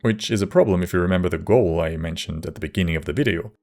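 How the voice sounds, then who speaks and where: A man reading scripted video essay narration in a measured, wry manner. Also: low-pitched, darkish tone.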